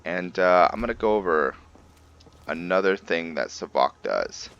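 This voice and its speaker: A man's voice: sits low, animated, unhurried, gravelly, fairly bright tone, smooth, dull audio. A man hosting a video game roleplay, giving casual, informal commentary and explaining things as he plays.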